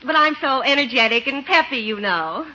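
A woman's voice: high-pitched, animated, moderate pace, pushed and bright, slight background noise. A woman playing a gushing, vain, flirtatious lady cousin in a breezy, theatrical 1950s radio comedy style. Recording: muffled 1950s radio recording.

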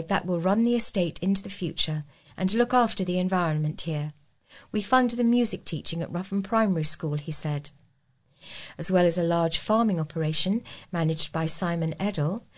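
A woman reading scripted local news as a volunteer reader. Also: on the low side.

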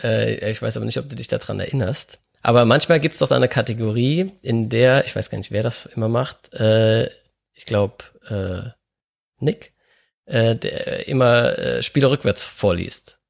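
Man, podcast host, gravelly fry in the voice, casual chat, hesitant, lots of fillers. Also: medium pitch, normal speed. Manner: hesitant, many filler sounds.

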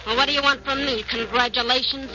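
Casting: actress for brassy, slangy American gang girl, scornful, higher register, dull 1940s radio sound. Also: steady tone, fast, close to an everyday voice. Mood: bitter, scornful. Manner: slangy, sassy. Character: tough-talking young gangster's moll, scornful and brassy. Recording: dull old radio recording with loud music.

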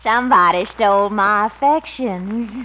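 An actress playing a flirtatious, gushing, adoring sweetheart in a 1950s radio comedy, coy and cooing. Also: female voice, mid-range voice, slow, close to an everyday voice. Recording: muffled, noisy old radio recording.

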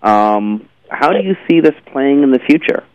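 Guy doing interview questions, kinda halting, dry n a bit lo-fi. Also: medium pitch, expressive, dry recording. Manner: halting, repeats words. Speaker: interviewer, show host. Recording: dull, slightly lo-fi, dry sound.

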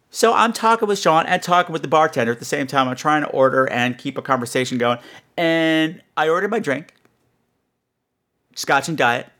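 A man's voice: medium pitch, a bit bright. A man hosting a podcast with a campy, theatrical, chatty comic delivery. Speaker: podcast host.

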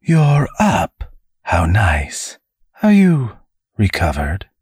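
A man voicing a mocking, bloodstained male demon in an audiobook, with a breathy, sly delivery. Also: fairly low pitch, wildly swooping pitch, very slow, a little mellow, dry recording, clean studio sound, pitched above the performer's own voice, heavily acted.

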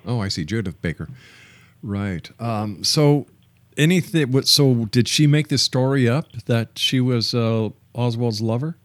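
A man's voice: medium pitch, measured pace, no echo. Male radio host, dry studio sound. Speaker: radio talk show host. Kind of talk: radio interviewing and announcing. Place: broadcast studio.

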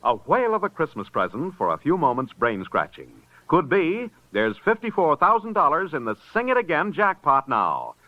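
A man's voice: on the high side, wildly swooping pitch, normal speed, no echo. A man announcing in the style of 1950s network radio, delivering a show promo. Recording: dull vintage radio recording, music behind.

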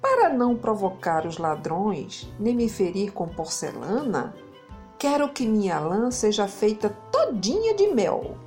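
A woman voicing a vain, self-pitying male sheep who pleads in a drawn-out, dramatic way. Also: medium pitch, sing-song, darkish tone, soft background music. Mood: insistent.

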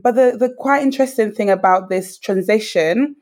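A woman in her thirties from Dominica with a British accent, an interview guest thoughtfully telling her life story with frequent pauses. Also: mid-range voice, darkish tone. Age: 30s. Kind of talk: reflective personal storytelling.

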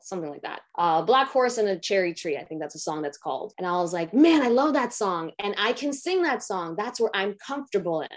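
A young woman, an actress, with a raspy, low voice, talking candidly and reflectively in a casual interview, with many "like" fillers. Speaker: actress guest.

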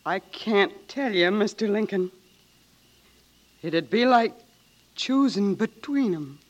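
A humble American farm mother in an old radio drama. She speaks slowly and haltingly, in plain country words, with anguish and pleading in her voice. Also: medium pitch, lively intonation, lo-fi sound. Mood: quiet anguish. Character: anguished humble rural mother of accused sons. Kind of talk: 1940s radio acting.

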